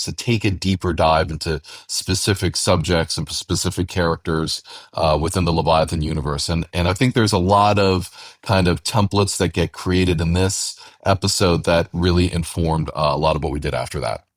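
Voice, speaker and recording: A man's voice: deep voice, fairly even intonation, normal speed, no room sound, studio quality. A man talking casually as a podcast host, discussing his show in unscripted conversation.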